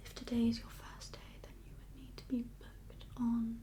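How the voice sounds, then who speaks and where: A woman doing a whispered ASMR roleplay as a doctor, speaking in a breathy, gentle, reassuring and slightly hesitant consultation manner. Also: on the high side, completely flat delivery, normal speed.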